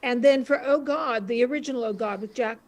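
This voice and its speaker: A woman's voice: on the high side, fairly quick. An older woman, a panel guest, reminiscing casually. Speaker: interview guest.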